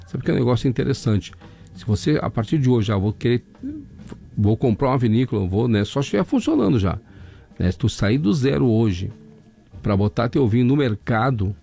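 A man with a southern Brazilian accent hosting an overnight radio show, hoarse from laryngitis, chatting folksily as he reads out listener messages. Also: mid-range voice, mellow. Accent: southern Brazilian, Rio Grande do Sul. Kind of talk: casual radio chat reading listener messages. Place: radio studio.

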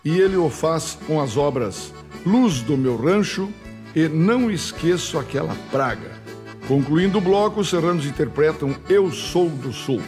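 Higher-pitched southern Brazilian male radio host. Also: animated, darkish tone. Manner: formal, courteous, measured announcer delivery. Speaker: radio music show host. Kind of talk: formal scripted radio presenting with sponsor reads. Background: music under the voice.

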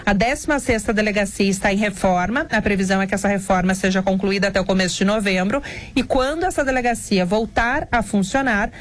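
A woman's voice: medium pitch, fast. A woman reporter giving a live radio report, explaining with the occasional filler. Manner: explanatory, slightly unscripted with fillers.

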